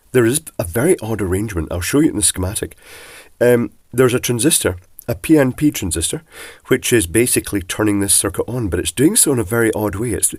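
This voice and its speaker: Man, congested, croaky, lowish voice, chatty teardown explainer, dry close sound. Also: darkish tone, no echo. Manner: chatty, rambling asides. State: congested from a cold, husky. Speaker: electronics teardown presenter. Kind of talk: casual technical explanation.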